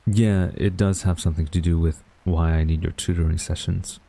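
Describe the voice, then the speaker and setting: A man's voice: low voice, steady tone, creaky, warm tone, slightly rough, full character voice. A man acting a young university student in a romantic audio roleplay, a defensive, secretly smitten rival who grumbles and gets flustered. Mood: weary, reluctant. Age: young adult. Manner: flustered, grumbling, halting denials.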